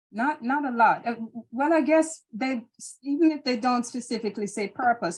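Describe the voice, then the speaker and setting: A middle-aged woman from Jamaica speaks with a Jamaican accent in a relaxed interview. She answers thoughtfully, pausing and restarting as she gathers her words. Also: medium pitch, poor audio. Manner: thoughtful, hesitant, many restarts and fillers. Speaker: interview guest.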